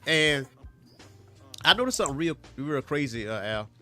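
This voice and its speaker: Male co-host, bright tone, congested, easygoing rambling talk. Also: fairly high pitch, sing-song, moderate pace, soft background music. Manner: laid-back, rambling, laughs easily. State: stuffy nose from allergies. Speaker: podcast co-host. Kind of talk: casual chat.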